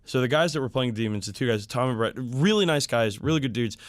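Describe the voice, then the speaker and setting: A man's voice: medium pitch, lively intonation, fast talker, no echo, clean studio sound. A man chatting casually as a podcast co-host, griping in a profane, exasperated way. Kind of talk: casual chat about tabletop wargaming.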